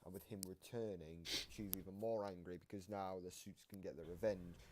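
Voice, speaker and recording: Male co-host, very low voice, casual explainer piecing ideas together, faint noise on the recording. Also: steady tone, normal speed. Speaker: co-host explaining game lore.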